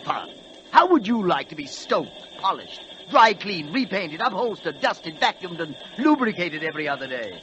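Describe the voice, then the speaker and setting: A snobbish royal express train, speaking in a high, swooping upper-class English voice. He is fussy and pompous in his complaints, in the manner of old radio acting. The broadcast is audibly noisy. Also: sing-song, normal speed, bright, forceful tone, full character voice. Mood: exasperated. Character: snobbish British royal express train, haughty, peevish, bored. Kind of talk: 1940s radio play acting.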